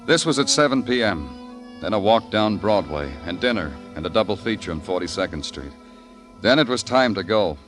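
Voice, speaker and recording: With music behind it, a fairly low American male voice plays a tired, wry New York detective. The delivery is terse, brooding noir narration from an old radio crime show. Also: sing-song, moderate pace, fairly bright tone. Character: world-weary, sardonic New York police detective. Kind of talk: noir scripted narration and 1950s radio acting.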